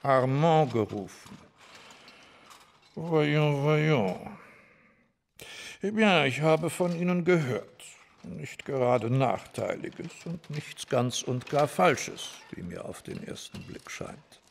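A pompous, gravelly head waiter. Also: male voice, a little high, animated, a little hiss or hum, full character voice. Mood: appraising. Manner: measured, condescending, peppered with French. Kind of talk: radio play acting. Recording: long hall reverb, music behind.

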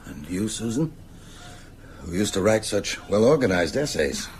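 An actor playing an ailing older teacher in a radio drama, very husky, precise, bookish and resolute. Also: male voice, fairly low pitch, very animated, normal speed, a little hiss or hum. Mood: wry resolute. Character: ailing older male teacher, scholarly, shaken but resolute.

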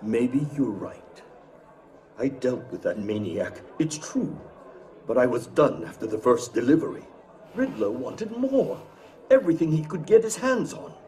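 A man acting a cagey older crime boss who owns a casino in a video game, formal, measured and politely evasive. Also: medium pitch, big pitch swings, a little mellow. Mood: confiding, wary.

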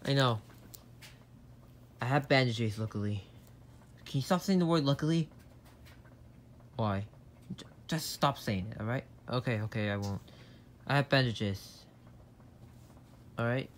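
A man's voice: mid-range voice, slight background noise. A man voicing plush-toy characters in plain, everyday conversation. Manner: slow, plain conversational delivery. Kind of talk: amateur plush-toy drama dialogue.

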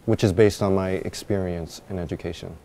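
A man's voice: sits low, fairly even intonation, fairly quick, dark tone, slight background noise. A man playing an earnest job applicant. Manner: polite, earnest, carefully spoken. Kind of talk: scripted dialogue for language learners. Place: office interior.

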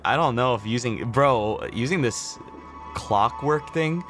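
A man's voice: medium pitch, expressive, a little slow, a bit bright, soft background music. A young man livestreaming a video game with casual, teasing commentary full of slang and swearing. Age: young adult.

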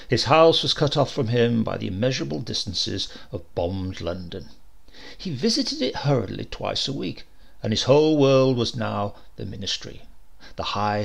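Gravelly man reading a comic story. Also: mid-range voice, a bit bright. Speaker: audiobook reader. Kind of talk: scripted narration of a comic story.

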